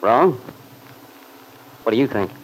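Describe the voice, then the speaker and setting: An actor playing a jealous, irritable young husband with a curt, needling manner in an old-time radio soap opera. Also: male voice, mid-range voice, expressive, takes it slowly. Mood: curt, sullen. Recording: noisy vintage radio recording.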